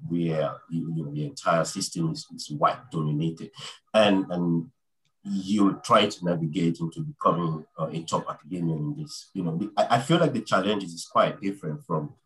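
A very deep-voiced man asks a long, rambling question at an online panel. He pauses often and fills the gaps with ums and uhs. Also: fairly bright tone, rough recording. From Nigeria. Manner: hesitant, halting with many fillers. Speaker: audience member asking a question.

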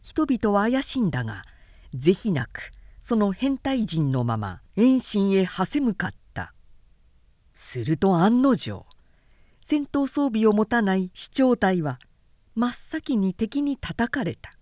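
A woman professional narrator reading a literary story in a deliberate, expressive, dramatic style. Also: mid-range voice, no echo, studio quality.

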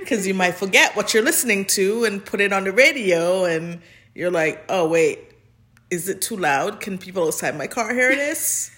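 A woman's voice: medium pitch, lively intonation, takes it slowly, long pauses, sharp tone. A woman co-hosting a podcast, chatting casually and informally about fan fiction in a giggly, rambling way. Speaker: podcast co-host.

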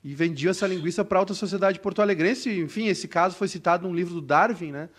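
A man with a southern Brazilian gaúcho accent, chatting casually and telling a story in a halting, rambling way, full of restarts and tag questions. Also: sits high.

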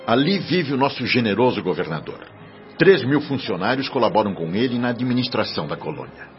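An actor playing a kindly, earnest spirit guide who explains things reverently in radio soap style. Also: male voice, mid-range voice, very animated, natural voice. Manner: didactic, reverent, measured. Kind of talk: Brazilian radio soap acting. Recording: dull radio drama with music behind.

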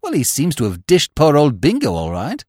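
A man voicing a breezy, flustered young upper-class gentleman who talks in chatty slang. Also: on the high side, wildly swooping pitch, moderate pace, no echo, clean studio sound. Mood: exasperated.

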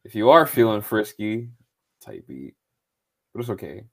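A man with a slightly low voice that is going hoarse, talking in a loose, easygoing way. He swears freely and keeps tossing in "bro" and "man" as he co-hosts a live show. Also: very animated, a little slow. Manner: laid-back, loose, swears a lot, says "bro" and "man". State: losing his voice. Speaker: podcast co-host. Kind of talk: casual live-stream chat.

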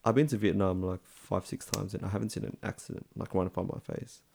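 A man's voice: low-pitched, steady tone, quick pace, creaky, darkish tone, breathy edge. A young man with a strong Australian accent, talking in a laid-back casual chat full of slang and "like". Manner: laid-back slangy talk, lots of "like" and "bro".

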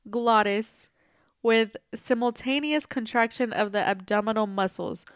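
Young woman, stuffy nose, podcast co-host, animated casual chat, smooth tone. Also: on the high side, a little slow, no room sound, clean studio sound. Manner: animated, swearing, playful. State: stuffy from allergies.

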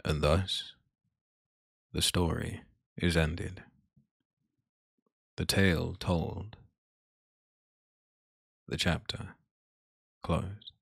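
A man playing a solemn, ominous storyteller closing a dark fantasy tale in a slow, portentous manner. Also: sits low, fairly even intonation, gravelly, husky, no room sound, clean studio sound, full character voice. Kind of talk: dark fantasy outro narration.

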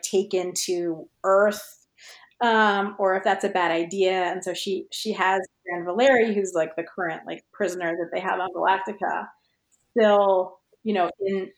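A woman podcast guest chatting casually and reflectively about her writing, searching for words and often saying "like". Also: medium pitch. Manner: hesitant, searching for words, many "like"s. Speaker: podcast guest, fan fiction writer. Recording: roomy, echoey sound.

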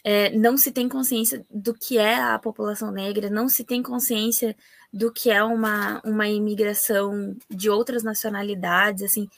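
A woman with a Brazilian Portuguese accent hosting a casual podcast interview, talking in an animated, emphatic way and often exclaiming. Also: mid-range voice, normal speed, creaky. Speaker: podcast host.

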